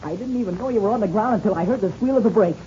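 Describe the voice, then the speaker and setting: A dull old radio recording with faint noise carries a male actor playing a plucky American boy aviator. The youthful voice is mellow and eager, delivered in classic 1930s serial fashion. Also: high-pitched, racing, natural voice. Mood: excited.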